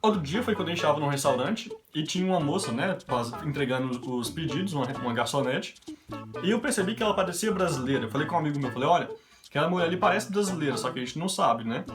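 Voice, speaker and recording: A man's voice: fairly high pitch, animated, fast. A young man from Brazil telling a run of everyday anecdotes to his vlog audience in a chatty, casual way. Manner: chatty anecdotal, quoting others in his own voice. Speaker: vlogger. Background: background guitar music.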